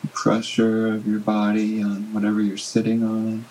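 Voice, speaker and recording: A man leading a guided body scan meditation in a gentle, unhurried manner with long pauses. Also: lowish voice, monotone, slight background noise, low-quality recording.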